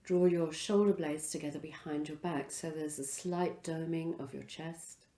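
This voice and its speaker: A woman leading a guided relaxation as a yoga instructor, speaking in an unhurried, soothing way with pauses between phrases. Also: sits low, fairly even intonation, mellow, roomy sound.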